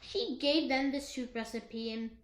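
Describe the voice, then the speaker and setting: A woman teacher reading a children's story aloud with expressive, dramatized delivery. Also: high voice, unhurried. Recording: echoey room.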